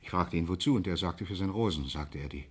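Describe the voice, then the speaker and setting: An actor playing a shaken, apologetic pharmacist who halts and repeats himself, in a 1960s German radio crime drama. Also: male voice, low-pitched, breathy edge. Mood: uneasy, explaining. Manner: halting, stammering, repeats words.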